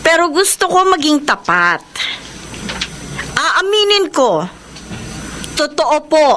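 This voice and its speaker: A woman's voice: high voice, sing-song, fairly bright tone, slight background noise, full character voice. An actress playing a troubled, pleading young woman in a radio drama, with a Filipino accent. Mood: tearful, confessing. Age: young adult. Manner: emotional, pleading, drawn-out delivery. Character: troubled young niece, fearful, pleading, publicly accusing. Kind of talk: Tagalog radio drama acting.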